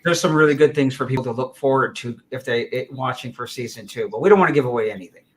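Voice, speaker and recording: A man's voice: medium pitch, fast. A man hosting a casual interview. He is encouraging, and he often repeats words and restarts his sentences. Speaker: talk show host.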